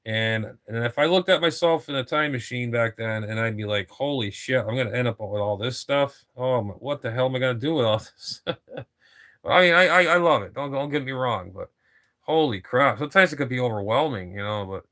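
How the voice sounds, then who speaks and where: A man's voice: medium pitch, fairly quick, fairly bright tone. A man in his fifties, a ham radio operator chatting casually on air, rambling and repeating himself, with casual swearing. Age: 50s.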